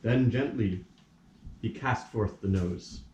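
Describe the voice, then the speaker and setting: A man's voice: lowish voice, slow pace, lo-fi sound, noisy background. A man narrating a scripted story for a puppet play, recorded at a distance in a roomy space.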